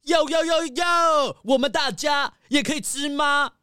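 A man's voice: very high-pitched, a little slow, pushed and bright, dry recording, studio quality, exaggerated voice. An actor playing an effusive, theatrical male animal character in a children's audio drama. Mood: jolly.

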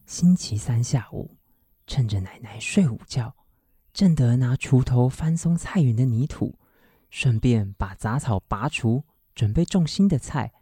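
Radio play narrator, lively up-and-down delivery, dry close sound. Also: mid-range voice, a little slow, husky, no echo. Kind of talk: scripted radio drama narration.

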